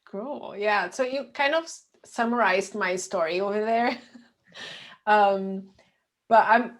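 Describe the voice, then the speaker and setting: A woman's voice: medium pitch, slow pace. A woman in her thirties with a Brazilian accent and Portuguese as first language, talking casually and personally as an interview guest. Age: 30s. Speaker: podcast guest, emotional eating coach.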